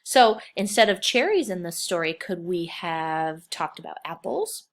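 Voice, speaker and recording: A woman's voice: on the low side, animated, a little slow. A woman reading a children's picture book aloud as a kindergarten teacher, expressively, with simple phrasing and questions put to young listeners.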